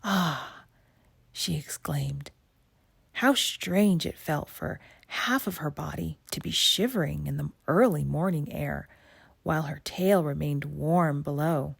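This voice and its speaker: A woman reading a children's picture book aloud in an expressive narrating style. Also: sits low, a little slow, creaky, slightly rough, no echo. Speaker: storybook reader.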